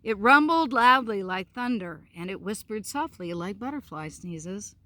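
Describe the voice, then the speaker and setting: A woman with a bright, mid-pitched voice reads a picture book aloud outdoors. She phrases the story with expression and steps out of it for casual, chatty asides. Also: animated, measured pace, dry recording. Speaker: storyteller, pastor. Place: outdoors in a park.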